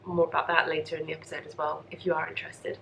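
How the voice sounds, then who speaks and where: Casual female podcast host, young, lowish voice, congested with a cold, chatty and rambling, some room noise. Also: flat delivery, very fast, gravelly, fairly bright tone, poor audio. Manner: rambling, self-deprecating, apologetic. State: congested and coughy from a lingering cold. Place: home craft room.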